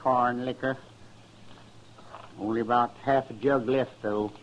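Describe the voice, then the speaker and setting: An actor playing an easygoing, fatalistic drunken drifter in a 1950s western radio drama, with folksy, drawling talk. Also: male voice, medium pitch, steady tone, measured pace, slight background noise, natural voice. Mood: lazy, offhand. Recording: muffled old radio recording.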